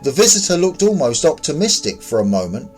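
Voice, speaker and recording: A man's voice: a little high, very animated, a little fast, faint music behind. A man reading scripted fiction as a story narrator. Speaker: audiobook-style story narrator.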